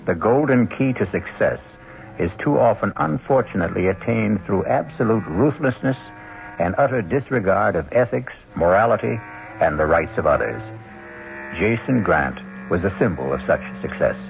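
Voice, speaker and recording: A man narrating a radio drama in a grave, measured way. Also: fairly low pitch, lively intonation, vocal fry. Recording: muffled old radio broadcast.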